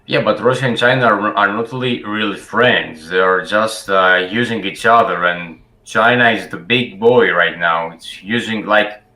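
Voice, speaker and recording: A man's voice: fairly low pitch, normal speed, few pauses, sharp tone. Man, hesitant, echoey room. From Greece. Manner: hesitant, many fillers. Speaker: chat stranger. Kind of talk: casual video chat.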